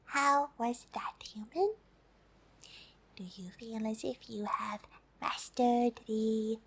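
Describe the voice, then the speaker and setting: A woman voicing a gentle, polite female alien for an ASMR roleplay, in a soft breathy whisper with slow, hesitant, stilted English. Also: a little high, full character voice. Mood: gentle, caring. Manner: slow, deliberate, hesitant, refers to herself in third person.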